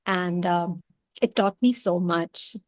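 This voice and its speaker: Slow, halting woman event host. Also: lowish voice, no room sound. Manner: hesitant, halting, appreciative. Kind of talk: unscripted closing remarks.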